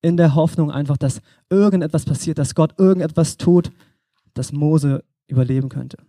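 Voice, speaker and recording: A young man preaching in a relaxed, conversational way, speaking straight to the listener as if chatting. His voice sits a little high but sounds mellow and dark. The sound is close and dry, with almost no room echo. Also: moderate pace. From Germany. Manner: conversational, addresses listener directly. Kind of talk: informal sermon.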